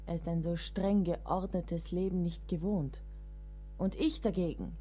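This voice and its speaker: A woman's voice: mid-range voice, warm tone, close to an everyday voice. An actress in a radio play, playing a devoted but exasperated middle-aged woman writer with a motherly, wry, dramatic manner. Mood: concerned, reflective.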